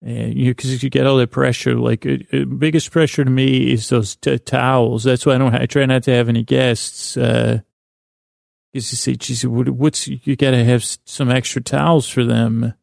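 A man with a creaky voice hosting a bedtime podcast, meandering and halting in a lulling, self-deprecating monologue. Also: medium pitch, level tone, darkish tone, no echo, clean studio sound. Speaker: podcast host.

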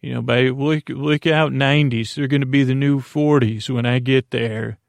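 A man hosting a sleep podcast in a rambling, soothing bedtime monologue, halting and repetitive, with a gravelly, creaky voice. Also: mid-range voice, fairly even intonation, no echo. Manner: meandering, halting, with gentle repetition.

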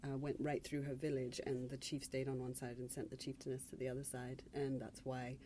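Low-voiced reflective woman novelist. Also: flat delivery, mellow, a little hiss or hum. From Zambia. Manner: reflective, measured, restarts mid-phrase. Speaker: novelist on a panel. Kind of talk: panel discussion.

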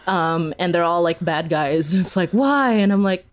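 A woman's voice: fairly low pitch, expressive, measured pace. A woman answering interview questions casually and conversationally, often saying "like" and chuckling as she explains. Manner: peppers talk with "like", chuckles while explaining. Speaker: interview guest, audio fiction creator.

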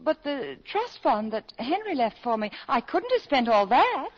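A woman's voice: sits high, sing-song, normal speed, husky, exaggerated voice. An actress playing a poised, scheming widowed mother in a 1940s radio comedy, middle-aged, with a genteel, coaxing, fluttery manner. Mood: pleading, coy. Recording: dull vintage 1940s radio broadcast.

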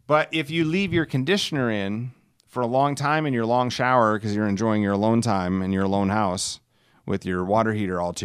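A middle-aged man with a smooth, clear voice, recorded close and dry. He hosts a podcast as a loose, rambling storyteller. His manner is folksy and salty, with self-deprecating humor. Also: lowish voice, a bit bright, dry recording. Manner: chuckles while telling stories. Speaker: podcast host.

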